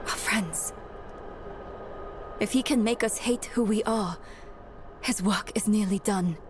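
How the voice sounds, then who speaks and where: A woman playing a weary, resolute young scholar in a dark fantasy video game, speaking in a measured, earnest and sometimes hushed way. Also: medium pitch, natural voice. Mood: gentle, somber. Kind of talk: dramatic video game acting. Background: cinematic background music.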